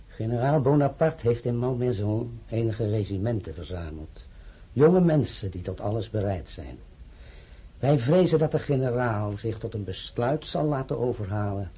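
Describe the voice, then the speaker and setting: An old general who talks in a slow, solemn and fatherly way. His voice is low, very dark and husky. Each line is weighed and grave. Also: exaggerated voice. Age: older.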